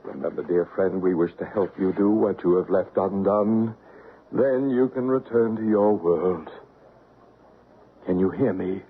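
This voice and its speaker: A man's voice: low voice, measured pace, breathy edge, full character voice. An actor playing an earnest, solemn man leading a séance in an old radio drama, intent and probing. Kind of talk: old-time radio drama acting and narration. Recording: narrow, noisy old radio recording.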